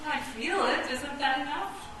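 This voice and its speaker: A woman's voice: high voice, lively intonation, measured pace. A woman as a sensible chess piece, very echoey. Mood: tender, hesitant. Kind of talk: theatrical radio play acting. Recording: echoey, distant, noisy stage recording.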